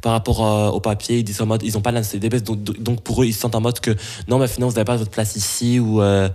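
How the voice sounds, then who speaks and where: A man's voice: fairly low pitch, level tone, rapid-fire, dark tone. A young man from Belgium answering interview questions, hesitant, with many restarts and fillers.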